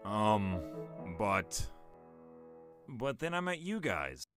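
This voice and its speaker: A man's voice: on the low side, sing-song, extremely slow, music in the background, full character voice. A man voicing an awkward, lovestruck impostor crewmate in a comic dub, hesitant and prone to melodramatic outbursts. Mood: subdued, hesitant.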